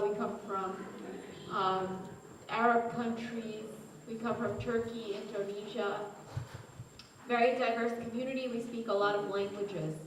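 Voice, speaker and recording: Woman, slightly high voice, friendly speaker, lots of ums, big hall echo. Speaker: city community affairs advisor. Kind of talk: public talk at a seminar. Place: large echoey hall. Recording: echoey hall, distant, faint noise.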